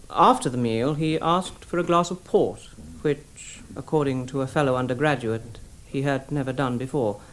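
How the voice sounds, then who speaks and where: A man's voice: medium pitch, natural voice. A man playing a formal witness at an inquest in a radio drama, giving evidence precisely and clinically. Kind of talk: British radio drama testimony.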